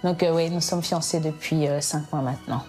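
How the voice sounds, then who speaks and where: Casting: young woman from Ivory Coast, expecting a baby, calm and relaxed, slightly lower voice, music playing behind. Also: a little fast. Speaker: expectant mother. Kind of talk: casual chat and interview.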